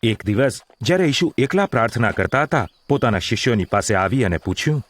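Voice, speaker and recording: A man's voice: medium pitch, expressive, brisk, lightly acted. A man narrating a gospel audio drama in a formal, solemn storytelling style.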